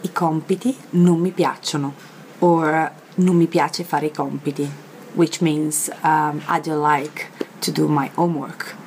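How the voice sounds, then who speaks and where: A young Italian woman with an Italian accent, teaching a vocabulary lesson to camera in a chatty way, filled with pauses and fillers, recorded outdoors on a terrace. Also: deep voice, fairly even intonation, a little mellow, slight background noise, poor audio. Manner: chatty, many fillers, repeats words slowly. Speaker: language teacher.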